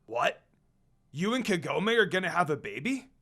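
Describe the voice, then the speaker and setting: A hot-tempered young wolf-demon man, played by a man reading a fan story. He barks his lines brashly. The voice sits a little high. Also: sing-song, moderate pace, exaggerated voice. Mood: gruff. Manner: brash and shouting.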